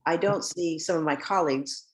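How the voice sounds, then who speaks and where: Woman judge with a very low voice, chatty and animated, telling family anecdotes in a webinar conversation. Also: normal speed. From United States. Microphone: video call.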